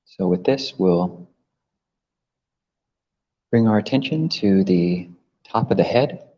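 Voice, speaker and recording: A man leading a guided meditation as a teacher, gently instructing with long pauses between phrases. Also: low voice. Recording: muffled, long reverberant hall echo.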